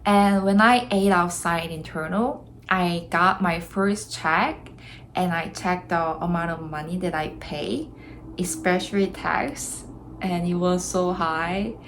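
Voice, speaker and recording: A woman's voice: lowish voice, takes it slowly, a bit bright, a little hiss or hum. A young Korean woman with a Korean accent, speaking English as a second language in a chatty, earnest vlog to camera. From South Korea. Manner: chatty, earnest, laughs between thoughts. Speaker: vlogger. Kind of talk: casual vlog talk to camera.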